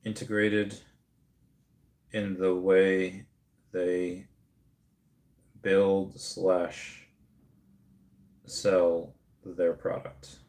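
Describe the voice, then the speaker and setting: A man in his thirties chats loosely on a livestream, starting sentences over and wandering between thoughts. His voice sits a little low, and the room gives it a noticeable echo. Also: level tone, drawn-out words, pauses often, darkish tone. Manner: rambling, many restarts, laughs at comments. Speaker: streamer host. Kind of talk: casual livestream chat reading comments.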